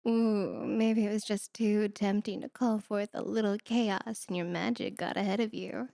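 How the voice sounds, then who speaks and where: A woman's voice: mid-range voice, fairly even intonation, normal speed, vocal fry, warm tone, dry recording, studio quality, lightly acted. A woman voicing a sardonic, teasing female demon with a condescending, flirty manner in an ASMR roleplay.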